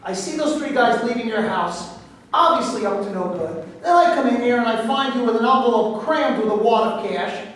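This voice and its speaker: A woman in a staged play reading, playing a pushy, excitable newspaper reporter with an insistent, questioning manner. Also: fairly high pitch, moderate pace, natural voice. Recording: distant, very echoey room with noise.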